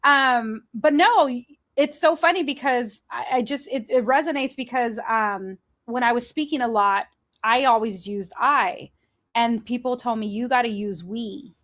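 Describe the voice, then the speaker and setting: Woman host, higher voice, chatty and animated, laughs easily. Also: measured pace. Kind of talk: candid conversation.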